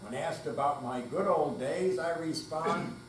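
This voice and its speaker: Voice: mid-range voice, unhurried. Distant, echoing older reader on stage. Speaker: readers theater performer. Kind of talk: dramatic reading of verse and monologue on stage. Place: hall at a senior center. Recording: distant echoey room with faint mains hum.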